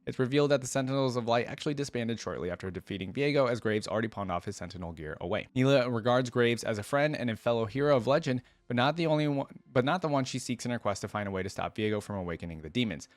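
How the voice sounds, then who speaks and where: A man's voice: medium pitch, fast, few pauses, no echo. A man hosting a reaction video, reading lore aloud and breaking off into casual, conversational musing.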